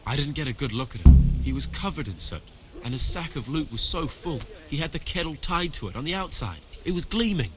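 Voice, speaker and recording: A man's voice: a little high, animated, brisk, loud music behind the voice, lightly acted. An actor playing a robbed, grieving village man in a video game, simple and grateful, speaking earnestly. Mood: rattled. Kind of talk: video game dialogue acting.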